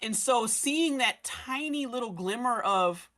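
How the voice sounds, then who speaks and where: A woman with a bright, pressing tone talks through a piece of fiction. Her delivery is casual and slangy, rambling as she explains and quotes what characters might think. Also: fairly high pitch, animated, unhurried. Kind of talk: casual fan discussion of a fiction story, acting out characters' thoughts.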